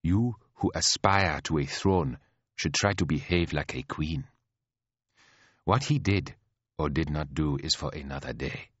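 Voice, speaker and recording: Audiobook role: male narrator as elder queen, very low and hushed, patiently chiding, mild yet menacing. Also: measured pace, no echo, highs cut off, heavily acted. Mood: calm chiding. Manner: patient, chiding, like a teacher with a naughty pupil.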